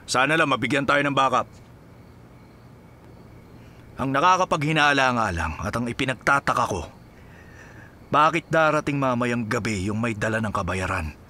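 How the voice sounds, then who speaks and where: A man's voice: mid-range voice, normal speed, long pauses, pushed and bright, a little hiss or hum, natural voice. A man with a Filipino accent playing a dedicated, teasing and affectionate policeman husband in an audio drama. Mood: commanding. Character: playful, dedicated policeman husband, loving and determined.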